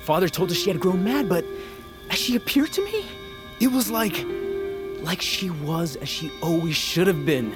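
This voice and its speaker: An actor playing a wounded, bitter young noble son in a fantasy audio drama, earnest and brooding, with halting delivery. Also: male voice, sits high, sing-song. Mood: uncertain, searching. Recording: music under the voice.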